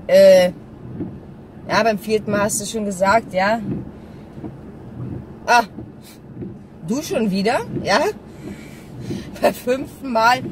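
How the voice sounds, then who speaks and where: A middle-aged woman chatting casually in a vlog while driving, explaining things directly to the viewer. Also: medium pitch. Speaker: vlogger. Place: inside a small car, driving. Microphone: dashboard-mounted camera. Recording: distant mic, loud car noise. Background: car and road noise.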